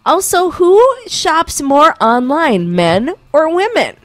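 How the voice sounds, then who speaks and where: A woman hosting a morning radio show, chatty and quick to laugh, losing her voice. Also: high-pitched, very animated, dry recording. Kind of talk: casual morning radio banter. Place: radio studio. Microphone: studio microphone.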